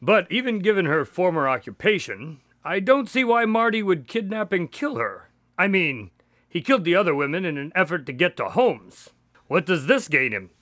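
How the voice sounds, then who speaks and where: High-voiced, expressive gentleman doctor sidekick. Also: normal speed, dry recording, clean studio sound. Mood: concerned, curious. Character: courteous, curious doctor, Holmes's male sidekick and storyteller. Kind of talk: radio drama acting.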